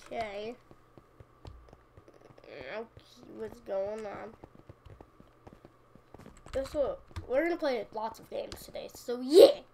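A woman's voice: fairly high pitch, expressive, slow pace, creaky, pauses often, mellow, poor audio. A young woman with a sniffly cold, chatting casually and joking at herself while playing a game. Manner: chatty, self-mocking, playful outbursts. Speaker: gaming channel host. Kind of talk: casual gameplay commentary. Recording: audible background noise and keyboard clicks.

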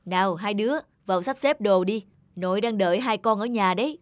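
A woman's voice: mid-range voice, a little fast, dry recording, clean studio sound, close to an everyday voice. A woman voicing a remorseful, insistent middle-aged father in a scripted cartoon.

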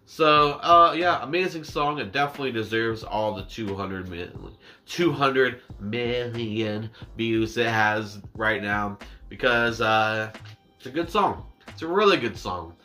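Young male reaction vlogger, bright and forward voice, chatty and meandering, seated indoors. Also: medium pitch, lively intonation, soft background music. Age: 20s. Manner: rambling, self-deprecating, drawn-out words. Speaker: reaction YouTuber. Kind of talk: casual chat to camera. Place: small indoor room with dark backdrop.

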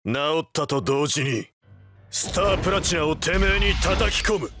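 A man voicing a stoic, tough young delinquent hero in a Japanese video game, terse and rough-spoken with cool defiance. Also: on the high side, animated, moderate pace, music in the background, lightly acted. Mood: defiant.

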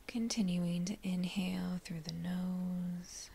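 Hushed, very slow woman guiding meditation. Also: on the low side, little pitch movement, a little hiss or hum. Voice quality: breathy, hushed, near-whispered. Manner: gentle, unhurried, with short phrases and pauses. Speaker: meditation guide. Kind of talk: slow guided meditation narration.

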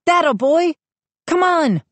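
Woman as high-pitched, urgently pleading child. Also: unhurried, mellow, no room sound. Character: frightened, earnest child narrator pleading urgently.

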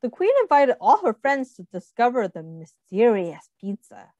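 A woman reading a picture book aloud to young children, expressively, pausing to explain and ask questions. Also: fairly high pitch, sing-song, warm tone, no room sound, lo-fi sound. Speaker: read-aloud host, teacher.

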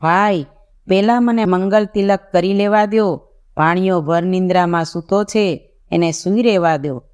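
A narrator plays a grieving royal mother from a devotional storybook. She is sorrowful, evasive and pleading, with a clean, smooth voice at medium pitch, and at times speaks in verse. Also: normal speed, a little mellow, close to an everyday voice.

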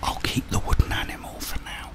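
A man speaking in a soft, breathy whisper close to the microphone, as in an ASMR game playthrough. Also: fairly quick, over music. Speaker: ASMR gamer and host.

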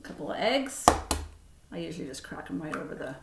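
A middle-aged woman giving a relaxed, chatty cooking demonstration in her home kitchen, talking through each step as she mixes. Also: low-pitched, a little fast, creaky, heavy background noise. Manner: relaxed, chatty step-by-step instructions while mixing. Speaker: cooking instructor. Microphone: fixed camera across the counter. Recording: echoey kitchen with clattering dishes.

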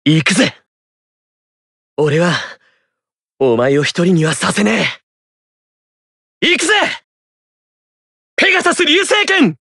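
A man's voice: high voice, expressive, slow, gravelly, pauses often, husky, no room sound, clean studio sound, exaggerated voice. A man voicing a hot-blooded, fiercely loyal teenage boy warrior in an anime battle game, brash and defiant. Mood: determined, earnest. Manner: shouted attack calls, brash and defiant.

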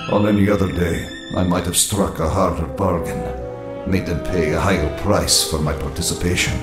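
An actor playing a proud, brooding older tyrant recounting his youth in a grave, measured, haughty dramatic narration for a comic. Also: low-pitched, reverberant, close to an everyday voice.

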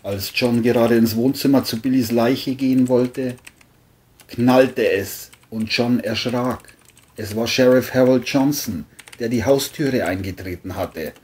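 A woman with a very deep, mellow voice narrates a crime story. She reads the script at a slow pace.

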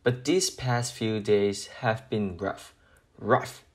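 A man voicing an eager, hopeful homeless puppy in a children's picture book, pleading and expressive. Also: fairly low pitch, takes it slowly. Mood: forlorn, pleading.

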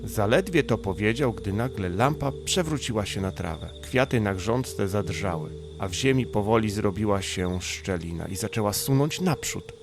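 A man narrates a children's bedtime story in a fairly low voice with noticeable creak. Music plays clearly behind the storytelling. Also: animated, normal speed, hardly any pauses. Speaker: audiobook narrator.

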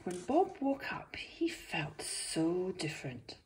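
A woman reading a picture book aloud to young children as its author, expressive, with gentle asides. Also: fairly low pitch, slow pace, in a whisper, low-quality recording.

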